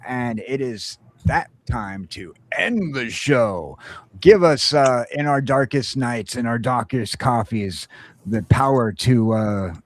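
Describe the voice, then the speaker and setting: A man's voice: medium pitch, lively intonation, slow pace. A man hosting a casual morning show, rambling with lots of fillers. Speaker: host.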